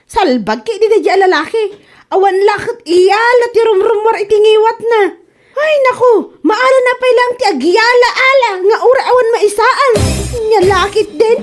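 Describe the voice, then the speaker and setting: A woman's voice: very high voice, normal speed, faint music behind. A woman with a Filipino Ilocano accent playing a hard-up, complaining wife who keeps borrowing, in a comedy radio drama. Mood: exasperated.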